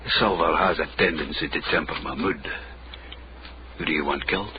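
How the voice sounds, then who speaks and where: An actor playing a sardonic, streetwise Scottish fixer for hire, dry and glib, in a period radio drama. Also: male voice, a bass voice, brisk. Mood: glib, bargaining. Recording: muffled radio broadcast, clear background noise.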